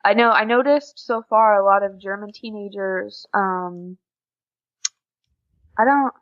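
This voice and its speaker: A teenage American woman talks casually in an interview, in a chatty, informal and giggly way. Her voice sits at an ordinary pitch. The sound is very dry and a little lo-fi. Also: a little slow, no room sound. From USA. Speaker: podcast guest, exchange student.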